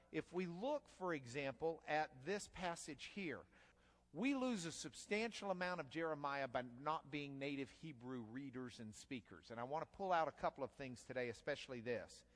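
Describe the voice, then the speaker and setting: A man preaching an expository sermon in a conversational, folksy way that builds to emphatic bursts, in a church sanctuary. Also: on the high side. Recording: long hall reverb.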